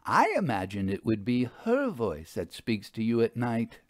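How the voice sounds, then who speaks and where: A man voicing a brisk, inquisitive woman investigator in an audio story, with a wry, emphatic manner. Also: mid-range voice, wildly swooping pitch, no echo, clean studio sound. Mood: curious, musing. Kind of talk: audio storybook character acting.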